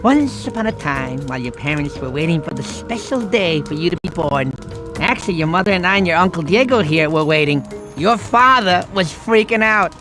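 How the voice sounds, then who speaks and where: Silly sloth uncle, lisping, boastful, whiny, wildly animated pitch, theatrical storyteller, game cutscene with music underneath. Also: male voice, a little fast. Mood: cheerful storytelling. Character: goofy, self-important male sloth uncle telling bedtime stories.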